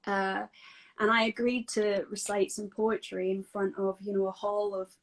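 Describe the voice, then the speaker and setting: A woman with a Scottish accent talks casually as a guest from home. She speaks at an ordinary middle pitch, pausing often with fillers and restarting her sentences. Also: little pitch movement, poor audio. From Scotland. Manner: chatty and hesitant, many fillers and restarts. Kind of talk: casual video-call interview.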